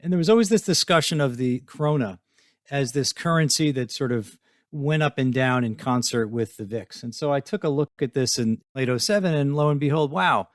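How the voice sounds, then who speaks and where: A man's voice: medium pitch, normal speed, no echo, clean studio sound. A man hosting an interview podcast, speaking in a conversational, presenterly way. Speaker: podcast host. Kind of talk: scripted introduction and conversational interviewing.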